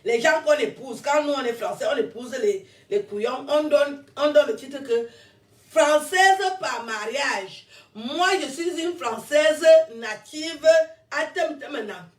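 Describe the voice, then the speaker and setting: A woman's voice: high voice, animated, measured pace. A woman in her fifties, born in France, giving a combative, rambling monologue to camera and laughing between her jabs. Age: 50s. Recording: roomy echo, distant from mic.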